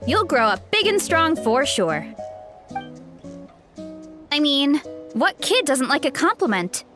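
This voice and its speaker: High, bubbly young farm girl. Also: very animated, slow pace, music in the background, full character voice. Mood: cheerful, friendly. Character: cheerful young woman fruit grower with a big appetite. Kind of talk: video game character voice acting.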